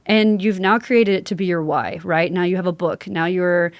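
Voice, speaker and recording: A woman's voice: fairly low pitch, fairly even intonation, normal speed, no echo. A woman hosting a conversational interview, asking questions in an affirming, encouraging way. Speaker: podcast host interviewer.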